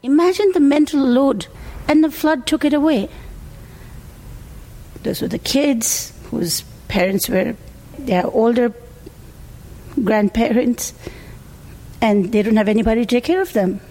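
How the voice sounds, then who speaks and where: A woman's voice: a little high, measured pace, long pauses, mellow, poor audio. A woman who grew up in India, a restaurant owner, speaking earnestly in an interview with small hesitations.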